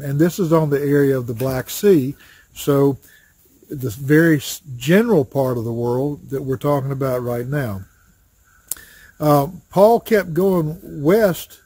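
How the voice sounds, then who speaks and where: An older man teaching a Bible study lesson outdoors in a wooded yard, talking in a plain, folksy way with frequent pauses, recorded on a camera at chest height. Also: a little high, darkish tone, slightly rough, no room sound. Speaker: associate pastor teaching Sunday school. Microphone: webcam or phone framed at chest height. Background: crows cawing.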